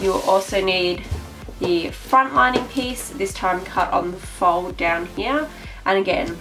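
A young woman talks casually through a sewing project, her voice a little nasal from a cold. She sits a bit back from the mic, and clear music plays under her. She fills pauses with "um" as she goes. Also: medium pitch, far from the mic, rough recording. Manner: chatty, with frequent "um" fillers. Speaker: sewing tutorial host.

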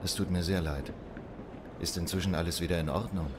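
An actor playing an urbane, self-assured psychoanalyst in a period audio drama, with a courteous, formal and slightly condescending manner. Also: male voice, deep voice, fairly even intonation, a little fast, noisy background, natural voice. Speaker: psychoanalyst character in a radio drama. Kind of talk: German audio drama acting.

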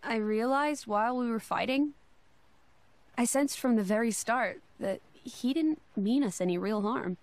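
Slow-spoken, gentle young heroine. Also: female voice, fairly high pitch, dry recording. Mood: pensive. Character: gentle, earnest young woman, fantasy heroine. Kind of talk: video game cutscene voice acting.

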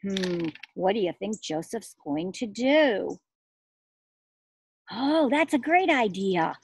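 Animated, husky woman reading to little kids. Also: mid-range voice, no room sound, studio quality. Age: middle-aged. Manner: animated, exaggerated expression, asking questions and pausing for answers. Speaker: preschool teacher reading a storybook aloud. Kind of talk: interactive picture-book read-aloud for young children. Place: outdoors among trees and shrubs.

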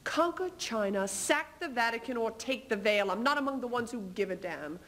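A young woman playing a weary, proud, sharp-tongued queen in a dramatic stage reading. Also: on the high side, expressive, normal speed. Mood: defiant, impatient. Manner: dramatic, biting. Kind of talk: theatrical duo interpretation, stage reading. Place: indoor stage in front of curtain.

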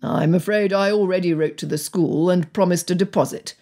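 A woman voicing a stern, wry, middle-aged upper-class father with a measured, drawling delivery in a storybook reading. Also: on the low side, warm tone. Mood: resigned. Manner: measured, drawling, drily teasing. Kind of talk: dramatised audiobook dialogue.

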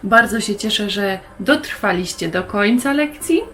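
A woman's voice: mid-range voice, expressive, unhurried, fairly bright tone, faint music behind. A woman hosting a Bible lesson for young children, explaining things simply and putting questions to the listener.

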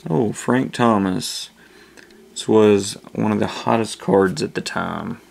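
A man hosting a casual video, slowly reading off names as he opens packs at a table indoors. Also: gravelly, darkish tone. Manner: slow, low-key listing of names. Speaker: channel host opening card packs. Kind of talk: casual card-opening commentary.

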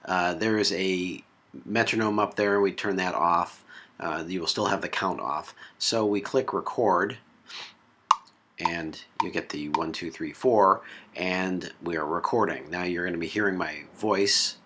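A man presenting a software tutorial step by step in a halting way, recorded on a laptop microphone. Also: low voice. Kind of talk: hands-on software tutorial narration. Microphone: built-in laptop microphone. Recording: noisy laptop mic sound.

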